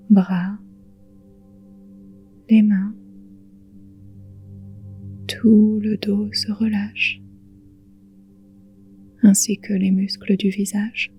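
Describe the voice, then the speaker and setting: Very slow, hushed woman guiding meditation. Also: mid-range voice. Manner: soothing, hushed, breathy delivery with long pauses. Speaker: yoga nidra guide. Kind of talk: guided relaxation meditation.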